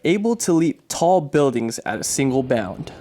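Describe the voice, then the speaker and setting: A man's voice: mid-range voice, big pitch swings, moderate pace, dark tone, slight background noise, natural voice. A man playing a dramatic, declamatory narrator of a superhero serial, in scripted old-time radio style. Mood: dramatic, urgent.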